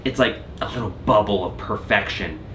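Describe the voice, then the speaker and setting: A man hosting a casual music review, talking through songs in an animated, opinionated way. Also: on the low side, moderate pace, slight background noise. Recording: roomy echo with music underneath. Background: music playing.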